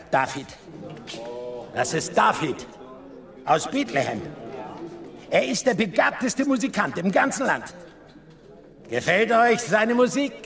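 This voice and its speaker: A man's voice: on the high side, creaky, a bit bright, exaggerated voice. An actor playing a troubled, volatile king in a theatrical, commanding manner in a Bible audio drama. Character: troubled, volatile king, swinging from anguish to booming festivity.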